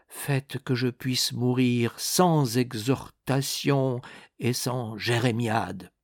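A man's voice: mid-range voice, no room sound, clean studio sound. A man voicing a proud, wounded officer on his deathbed, irritable and defiant, speaking with a strained, emphatic exasperation in a dramatic storybook reading. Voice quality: weak, strained voice.

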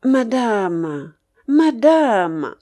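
A woman's voice: medium pitch, extremely slow, a little mellow. A woman voicing an unctuous, condescending Frenchman in an audiobook, with syrupy, pleading politeness. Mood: sugary, reproachful. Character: unctuous, condescending Frenchman giving fussy advice. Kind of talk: audiobook character voice.

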